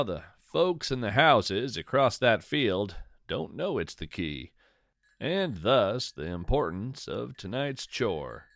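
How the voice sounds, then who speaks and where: A man tells a cozy, folklore-tinged tale at a slow, measured pace, his pitch moving expressively through descriptive phrases. He slips in musing, conversational asides as he goes. The recording is dry and close, with no room sound. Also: creaky, fairly bright tone, dry recording. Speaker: podcast host and storyteller. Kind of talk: scripted first-person narration of cozy folklore fiction.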